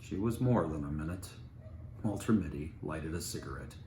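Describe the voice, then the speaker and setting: A man's voice: extremely low pitch, animated, moderate pace. A man narrating a story aloud as a storyteller. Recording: roomy, noisy home recording.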